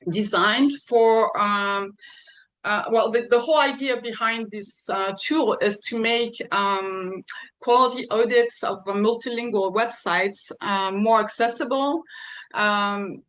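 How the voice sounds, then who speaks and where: A woman presenting a tool over a video call, hesitant and filling pauses with ums and apologies. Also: mid-range voice, fairly bright tone, rough recording. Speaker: conference presenter. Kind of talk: live online tech demo.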